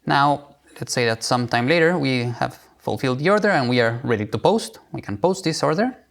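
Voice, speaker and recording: A man presenting a live software demo, explaining it informally step by step. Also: mid-range voice, animated, fairly quick, vocal fry.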